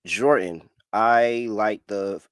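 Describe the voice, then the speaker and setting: A man's voice: fairly low pitch, drawn-out words, a bit bright, clean tone, no echo, clean studio sound. A man hosting a sports betting podcast, talking in a casual, slangy way. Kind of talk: casual chat, ad reads and betting picks.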